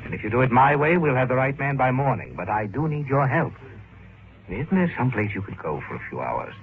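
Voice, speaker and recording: An actor playing a smooth, calculating businessman who hides a killer's nature in a vintage radio drama, deliberate and persuasive. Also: medium pitch, very animated, exaggerated voice. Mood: persuasive concern. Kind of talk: 1940s-50s radio drama acting. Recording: narrow, noisy old radio recording.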